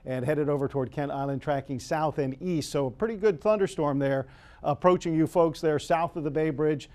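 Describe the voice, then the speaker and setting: A man's voice: fairly high pitch, brisk, a little mellow. An American man, a chief TV meteorologist, explaining live weather conditions in an unscripted way.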